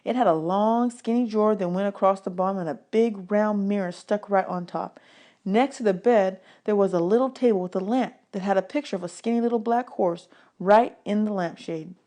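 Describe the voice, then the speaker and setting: A woman reading a children's novel aloud as a teacher, in an expressive storytelling style. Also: mid-range voice, moderate pace.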